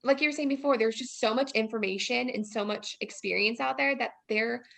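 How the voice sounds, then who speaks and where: A woman's voice: a little high, a little fast, creaky, a bit bright. A woman in her early twenties chatting casually as a guest student teacher. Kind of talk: casual chat.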